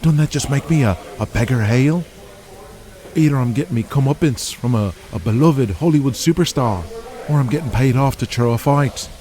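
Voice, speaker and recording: A man's voice: medium pitch, normal speed, mellow, voice pushed up, lightly acted. A man voicing a cocky, sly wrestling villain in an audio drama, with a smug, taunting and colloquial way of talking. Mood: sly provoking.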